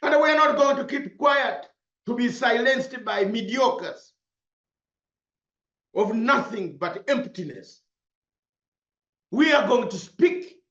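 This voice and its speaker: High-pitched, slow, declaiming man. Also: a bit bright. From Uganda. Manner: emphatic, repetitive, declamatory. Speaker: broadcaster. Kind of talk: impassioned political speech. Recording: roomy, slightly dull sound.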